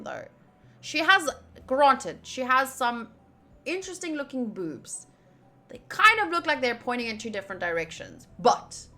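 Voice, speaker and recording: A woman's voice: mid-range voice, bright, forceful tone. A young woman giving casual, chatty playthrough commentary, reading game text aloud and tossing in joking asides. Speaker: streamer doing a game playthrough. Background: game music.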